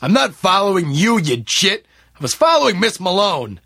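A man's voice: high voice, huge pitch swings, moderate pace, voice pushed up, exaggerated voice. A man voicing a stern, defensive man in his twenties in an audiobook, brusque and suspicious in manner. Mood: indignant.

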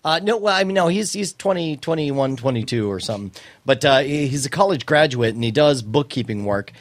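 A man's voice: mid-range voice, lively intonation, a little fast, dry recording. A man chatting casually as a podcast co-host, joking with theatrical turns of phrase. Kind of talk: casual chat.

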